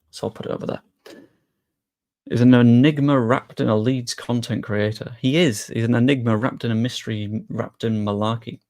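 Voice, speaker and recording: Gravelly-voiced English streamer, easygoing chat. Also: male voice, mid-range voice, darkish tone. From England. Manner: chatty and easygoing, with frequent fillers and British slang. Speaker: live streamer. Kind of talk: casual live stream chat, reading and answering viewer questions.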